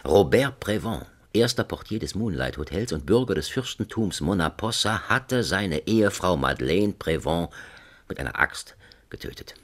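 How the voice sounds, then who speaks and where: A man's voice: low-pitched, animated, normal speed. An actor narrating a satirical radio drama as a wry, dramatic storyteller who savours his words.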